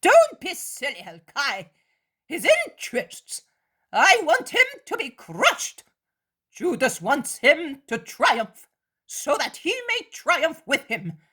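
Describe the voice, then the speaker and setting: A woman voicing an angry, vengeful male elder who shouts scornfully. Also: sits high, huge pitch swings, slow pace, heavily acted.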